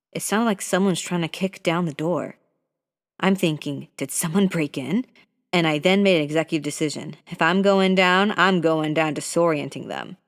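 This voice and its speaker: A narrator reading a scary story aloud in a steady, measured way. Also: medium pitch. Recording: voice with long hall reverb.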